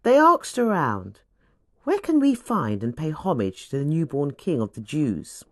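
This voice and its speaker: A woman reading scripture as scripted audiobook narration, with a deliberate, dramatic storytelling delivery. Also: low voice, sing-song, no room sound, studio quality.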